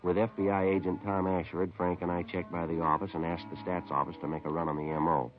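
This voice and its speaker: An actor playing a matter-of-fact police detective in a 1950s radio drama, clipped, deadpan and businesslike. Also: male voice, deep voice, fast, faint music behind, natural voice. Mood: deadpan narrating. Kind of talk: terse police procedural narration and dialogue, 1950s radio. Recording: dull vintage 1950s radio recording.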